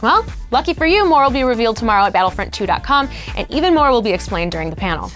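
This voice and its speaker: A woman's voice: a little high, very animated, racing, fairly bright tone, faint music behind. A woman co-hosting a show, presenting scripted material in an upbeat, playful way. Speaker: show co-host.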